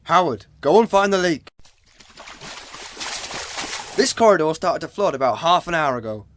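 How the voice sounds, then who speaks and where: A man's voice: on the high side, expressive, moderate pace, a bit bright, a little hiss or hum. A man playing a bossy, exasperated airport maintenance foreman, curt and scolding, in a radio comedy.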